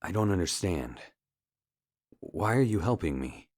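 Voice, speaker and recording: A man's voice: low-pitched, big pitch swings, unhurried, darkish tone, a little hiss or hum. An actor playing an earnest, wary amateur investigator and worried father in an adventure game, questioning in a guarded, restrained way. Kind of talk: adventure game dialogue acting. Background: music.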